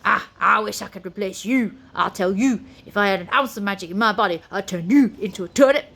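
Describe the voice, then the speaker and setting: Storybook Scottish swordsman, played by a woman: hot-tempered, blustering, loud and roaring. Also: medium pitch, a little fast, creaky. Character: fierce, hot-tempered male sword-wielding warrior in a kilt.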